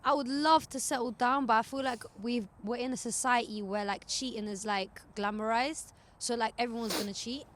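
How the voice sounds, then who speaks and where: A woman's voice: on the high side, moderate pace, hardly any pauses, fairly bright tone. A woman in her early twenties giving her views in earnest on a casual podcast, with lots of "like". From Albanian family. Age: 20s. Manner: earnest, filler-heavy, keeps saying "like". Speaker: guest.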